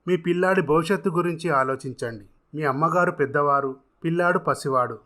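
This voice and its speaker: Man acting an earnest, bright-voiced, generous contractor. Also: a little high, a little fast. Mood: encouraging. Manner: earnest, formal, exhorting. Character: earnest, generous self-made contractor encouraging a troubled woman.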